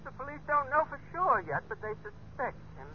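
An actress playing an anxious, trusting middle-aged heiress in a golden-age radio drama, speaking in a fretful, plaintive way. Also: female voice, sits high, very animated, a little fast. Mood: upset, anxious. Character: wealthy, anxious, trusting forty-year-old heiress. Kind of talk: 1940s-50s radio drama acting. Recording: muffled vintage radio, faint hiss.

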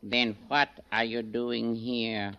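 An actor playing an eerie, courteous member of a hidden society in 1940s radio drama, suave and formal. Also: male voice, mid-range voice, level tone, slow pace, sharp tone, clean tone, muffled recording. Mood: courtly, deferential. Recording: vintage radio drama recording.

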